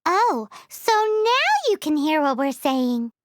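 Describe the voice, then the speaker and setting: A woman's voice: sits high, big pitch swings, slow pace, dry recording, studio quality, exaggerated voice. A woman voicing a small, childlike, bossy companion in a video game, cheeky and exclamatory. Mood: cheeky, impatient.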